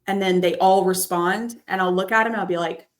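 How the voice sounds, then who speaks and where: Woman host, 30s, candid storyteller, lowers voice for emphasis. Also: steady tone. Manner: candid, storytelling, drops voice low and slow for emphasis. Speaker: podcast host. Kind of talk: casual personal chat.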